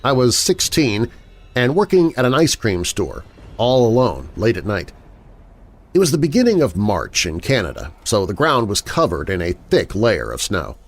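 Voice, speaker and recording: Deep-voiced man narrating horror stories. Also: normal speed. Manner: dramatic, expressive storytelling. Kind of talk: scripted narration of first-person scary stories. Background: background music.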